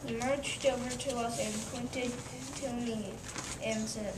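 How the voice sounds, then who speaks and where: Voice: mid-range voice, steady tone, slow. A first-grade child reading a picture-book story aloud as a student in a class performance. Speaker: student reader in a class reader's theater. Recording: distant, echoey, noisy room recording.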